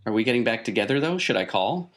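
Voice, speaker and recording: A man playing a fed-up, sharp-tongued ex-wife in an improvised tabletop roleplay. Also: medium pitch, sing-song, fast talker. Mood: hesitant, yielding.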